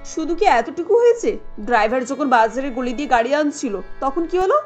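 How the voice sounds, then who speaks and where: A woman's voice: sits high, very animated, normal speed, darkish tone. A woman playing a haughty, imperious middle-aged rich mother in a cartoon, scolding with snobbish indignation. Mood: disgusted, indignant. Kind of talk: cartoon dialogue acting. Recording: light music under the voice.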